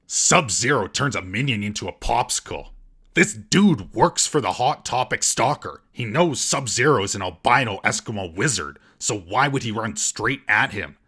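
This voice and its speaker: Sarcastic man with husky, forceful voice. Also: lowish voice, lively intonation, moderate pace. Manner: sarcastic, mocking. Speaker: comedic film reviewer and host. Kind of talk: scripted comedic recap narration.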